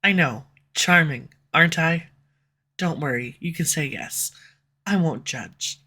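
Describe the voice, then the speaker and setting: A woman's voice: deep voice, slow pace, sharp tone. A woman voicing a sarcastic snarky villain. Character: snarky sharp-tongued villain, slightly tsundere. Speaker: audio roleplay voice actor. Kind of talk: scripted audio roleplay. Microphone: USB condenser mic.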